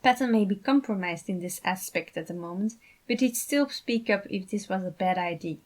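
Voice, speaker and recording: A woman narrates a story from a script at a middling pitch. She sounds like an author reading her own fan fiction aloud. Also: moderate pace, a little mellow.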